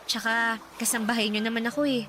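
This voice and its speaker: Young housemaid girl in a radio drama, high voice, super polite n caring, noisy track. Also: steady tone, brisk, bright, forceful tone. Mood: shy, caring. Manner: polite, hesitant, fussing. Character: eager, caring, respectful eighteen-year-old housemaid. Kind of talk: Tagalog radio drama acting.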